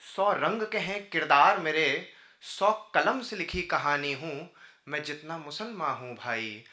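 A man recites verse on stage in a slightly high, very sharp and forceful voice. His delivery is declamatory, built on rhythmic phrases. The sound is roomy, as from an auditorium podium. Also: unhurried. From India. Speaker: poet. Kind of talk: live Urdu-Hindi poetry recitation. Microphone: podium microphone.

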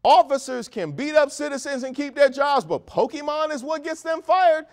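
A man in his thirties hosting a comedic news monologue on a studio set, animated and mock-outraged with jokey asides. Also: very high voice, normal speed. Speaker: host.

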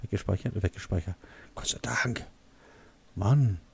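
A man's voice: lowish voice, a little slow, creaky, breathy edge. A man with a German accent giving casual let's play commentary, muttering half-sentences to himself and exclaiming during fights.

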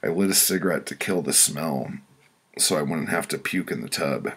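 Very deep, gravelly man telling crude stories. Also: normal speed, a bit bright. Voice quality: gravelly, rough. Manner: crude, profane, blunt. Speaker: author reading their own audiobook. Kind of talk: casual first-person comic storytelling, read aloud.

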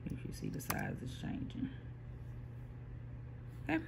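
A person casually explaining printer software step by step, with frequent pauses and restarts, recorded on a phone aimed at a computer screen. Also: very noisy. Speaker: small print shop owner giving a software tutorial. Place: indoor workspace at a computer. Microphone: phone filming a computer screen.

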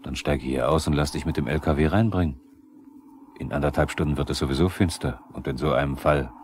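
A probing male narrator in a 1970s East German radio drama. His voice is extremely deep, and he speaks straight to the characters. The old record adds light noise. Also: fairly quick. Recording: noisy 1970s vinyl radio play.

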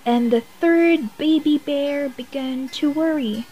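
Slow-paced woman reading a bedtime story. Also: high-pitched, lo-fi sound, faint music behind. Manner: expressive, deliberate phrasing for young children. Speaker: storyteller reading a children's picture book. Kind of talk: bedtime story read-aloud.

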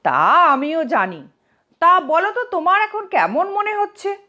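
A woman's voice: extremely high pitch, very animated, unhurried, sharp tone, highs cut off, voice pushed up, full character voice. A woman voicing a proud, exasperated king in a comic audio storybook, speaking in an emphatic, commanding way. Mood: curious.

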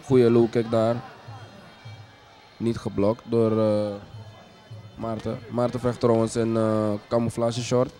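A man commentating on a kickboxing match in a hesitant, measured way, as a sports commentator does during a live bout. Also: medium pitch, monotone, mellow. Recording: music behind the commentary. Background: music.

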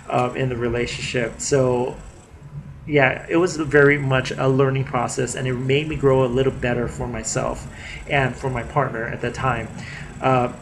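A man's voice: mid-range voice, a little hiss or hum. A young man talking casually to his webcam in a living room, a little nasal from a cold, with lots of fillers and restarts. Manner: chatty, many fillers and restarts. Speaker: vlogger. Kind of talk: casual video diary. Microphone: webcam at desk distance.

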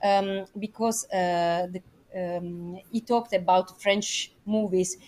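A woman with an Italian accent speaking English as a guest on a video call, halting and full of fillers. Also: on the low side, fairly even intonation, darkish tone. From Italy. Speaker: guest pianist. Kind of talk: casual chat.

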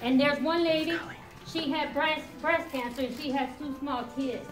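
A woman's voice: sits high, moderate pace, music in the background. An older woman, a local resident, giving plain-spoken personal testimony at a meeting, repeating herself for emphasis. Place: crowded library meeting room, indoors. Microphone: distant camera. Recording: echoey room, distant mic.

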